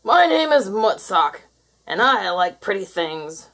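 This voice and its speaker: A woman's voice: medium pitch, sing-song, takes it slowly, a bit bright, full character voice. A woman voicing a big, greedy male monster in a growling, gloating storybook manner. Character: big greedy male monster who hoards pretty things.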